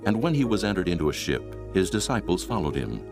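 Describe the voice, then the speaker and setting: A man's voice: low-pitched, sing-song, fast talker, music in the background. A man narrating a dramatized Bible in a solemn, dramatic storytelling manner.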